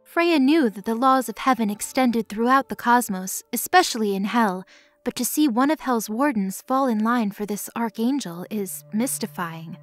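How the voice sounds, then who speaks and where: Higher-voiced woman narrating a fantasy story. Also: expressive, normal speed, no room sound, soft background music. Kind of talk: scripted third-person story narration over music.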